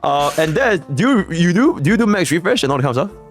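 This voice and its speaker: A man's voice: on the high side, animated, quick pace, faint music behind. A man hosting a casual call interview, chatty and loose, laughing often. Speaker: host. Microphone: video call.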